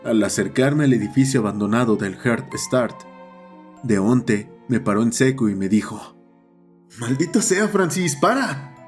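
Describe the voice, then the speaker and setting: Deep-ish guy narrating a creepypasta type horror story, faint music behind him. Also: a little slow, a little mellow.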